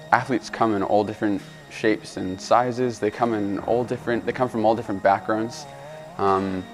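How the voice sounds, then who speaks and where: A man who works as a performance coach, speaking in a measured, explanatory documentary interview. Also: lowish voice.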